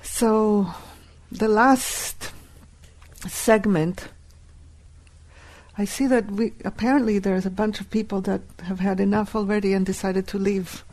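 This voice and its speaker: A woman whose first language is Hebrew, teaching a live workshop and deliberately repeating key phrases. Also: medium pitch, fairly even intonation, darkish tone.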